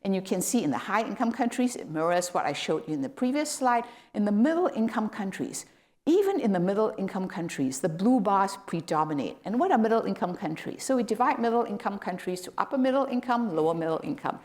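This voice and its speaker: A woman's voice: mid-range voice, lively intonation, fairly quick, a little mellow. Woman from Malaysia giving a lecture. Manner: explanatory, with emphatic rises on key words. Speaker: guest lecturer. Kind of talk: academic lecture. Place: lecture hall.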